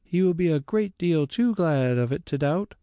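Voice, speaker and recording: A man's voice: fairly high pitch, animated, normal speed, mellow, no room sound, voice pushed up, natural voice. A man doing a confident young lady. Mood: assured.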